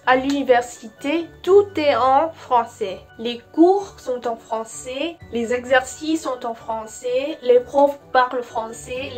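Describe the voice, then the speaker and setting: A young woman with a clearly high voice and a Vietnamese accent talks at a slow, deliberate pace. She stops and restarts often while she searches for words. Soft music plays behind her. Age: young adult. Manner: slow, careful, with frequent hesitations. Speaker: vlogger. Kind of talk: casual talk to camera.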